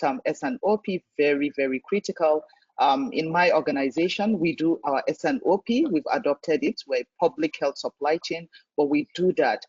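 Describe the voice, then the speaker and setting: A man moderates an online panel, his somewhat high voice husky and breathy. He speaks in a measured way, pausing on "um" between phrases. The audio is dry and muffled, like a close call mic. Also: a little mellow, no echo. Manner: measured, frequent "um" fillers. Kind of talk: panel discussion remarks.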